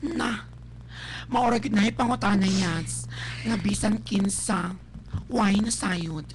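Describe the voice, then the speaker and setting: A woman playing a fussy, caring, excitable nanny in a melodramatic radio drama, exclamatory and scolding, with a Cebuano Filipino accent. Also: medium pitch, takes it slowly, close to an everyday voice. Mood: advising, chiding. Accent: Filipino, Cebuano-speaking. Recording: faintly noisy live radio capture.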